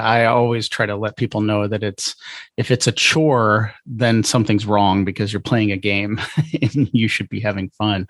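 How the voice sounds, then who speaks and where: A man's voice: fairly low pitch, dry recording, studio quality. A man hosting a podcast interview in casual chat, with a hesitant, rambling way of talking.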